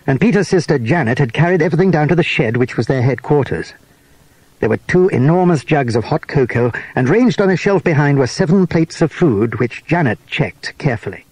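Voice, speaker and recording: A man's voice: a little high, a little mellow, slightly rough, dry recording, rough recording. A man reading scripted narration for a children's storybook audio drama.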